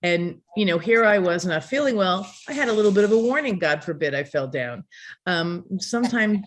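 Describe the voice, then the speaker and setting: A woman's voice: fairly low pitch, lively intonation, fairly quick, slight background noise. An older woman hosting a community video call, talking earnestly and rambling with fillers.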